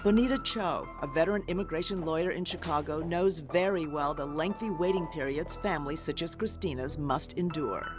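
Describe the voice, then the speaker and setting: A woman hosting and narrating a news feature in a measured, scripted reporting delivery. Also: low voice, music in the background. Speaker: program host, narrator.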